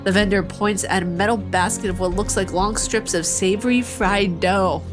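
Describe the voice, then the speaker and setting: A playful woman with a mid-range voice reads a visual novel's story text aloud, then drops into joking commentary and laughs. Game music plays clearly behind her. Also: medium pitch, fairly even intonation, moderate pace. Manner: playful, joking asides between narrated passages, frequent laughs. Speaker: let's play host.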